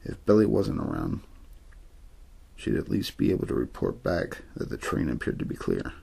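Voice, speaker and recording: Very deep, gravelly male ASMR reader. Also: wildly swooping pitch, normal speed, dark tone. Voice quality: very low, gravelly, husky. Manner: untheatrical reading meant to lull to sleep. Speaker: amateur story reader. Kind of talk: ASMR story reading.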